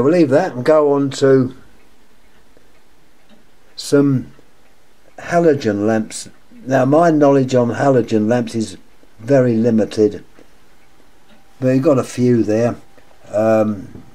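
An older man with a sore, croaky throat, talking casually and in a rambling, repetitive way while showing his lamp collection at home. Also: mid-range voice, slow, long pauses, warm tone. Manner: rambling, repeats himself, wry complaints. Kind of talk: casual show-and-tell monologue. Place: indoors at home, table of lamps.

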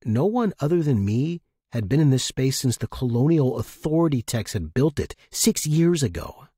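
A man with a husky voice reading a first-person fiction story as a scripted narration. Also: medium pitch, big pitch swings, normal speed, mellow, dry recording, clean studio sound.

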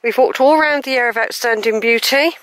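An older woman talks to her camera as she walks across open fields. Her voice sits a little high, and she rambles along with friendly asides, often correcting herself mid-sentence. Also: a little fast, a bit bright, no echo, low-quality recording. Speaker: walking vlogger. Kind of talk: chatty walking commentary to camera. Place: open hilly fields outdoors. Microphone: handheld camera mic while walking.

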